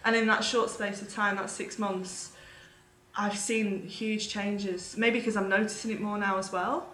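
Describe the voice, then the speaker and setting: A woman's voice: mid-range voice, little pitch movement, measured pace, fairly bright tone, a little hiss or hum. British woman with a roomy echo. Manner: chatty, laughs easily, fillers like um. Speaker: guest, vegan podcast host. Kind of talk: casual interview chat.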